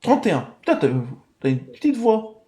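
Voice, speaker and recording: Male live-stream host, high voice, chatty, runs a quiz for callers. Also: very animated, moderate pace, warm tone. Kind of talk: casual live-stream chat.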